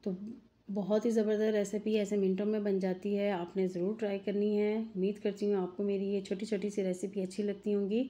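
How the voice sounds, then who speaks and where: A mother narrating a home cooking video, speaking to her viewers in a chatty, homely way. Her voice has a mellow, warm tone at a middle pitch, relaxed and unhurried. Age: adult mother. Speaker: cooking channel host. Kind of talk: home cooking tutorial voice-over.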